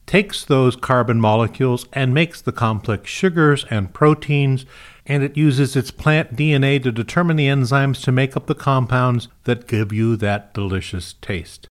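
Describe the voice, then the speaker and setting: A man narrating a scripted science podcast as its host. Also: mid-range voice. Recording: music behind the voice.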